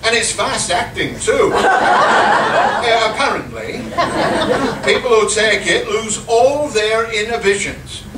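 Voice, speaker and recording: A man playing a prim, slightly embarrassed know-all in a live comedy radio play, speaking deliberately. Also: high-pitched, very animated, over a noisy crowd, full character voice. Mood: prim, alarmed. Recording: echoey distant live recording, loud noise, audience.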